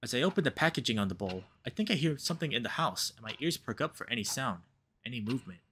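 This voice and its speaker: A man's voice: mid-range voice, fast talker, slight background noise. A man hosting a playthrough, expressively reading a visual novel aloud and reacting to it with commentary. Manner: animated, reacts aloud to the story. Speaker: let's play host, reader.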